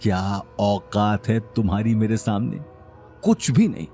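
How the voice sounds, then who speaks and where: A man's voice: lowish voice, warm tone, faint music behind. Man acting an arrogant, sneering young bridegroom. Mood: mocking, contemptuous. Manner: mocking, boastful, drawn-out taunts. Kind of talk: Hindi audio drama acting.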